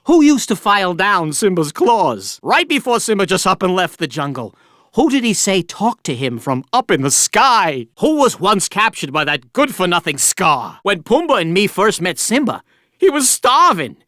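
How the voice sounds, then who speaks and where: A man's voice: high voice, bright, forceful tone, dry recording, heavily acted. A cocky little meerkat hosts a video game quiz, cracking jokes and cackling at his own gags. His voice swoops and bounces all over the place. It is recorded dry and close in a studio. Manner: jokey, chatty, laughs at own jokes. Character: wisecracking male meerkat quiz host. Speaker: quiz host.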